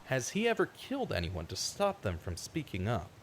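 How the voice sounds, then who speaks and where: A man playing an earnest, troubled investigator in a radio drama, with a deliberate, dramatic delivery. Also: medium pitch, wildly swooping pitch, slight background noise. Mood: earnest questioning. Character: earnest, troubled male investigator, bitter at injustice. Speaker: narrator and investigator in an audio drama. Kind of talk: scripted radio drama acting with monologue narration.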